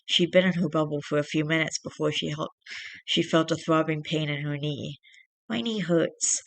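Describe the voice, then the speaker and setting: A young man with a raspy voice and a dry throat, reading a story aloud and then chatting casually with viewers in a rambling way. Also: a little high, little pitch movement, brisk, dry recording. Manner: rambling, self-correcting chat. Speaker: channel host reading fiction.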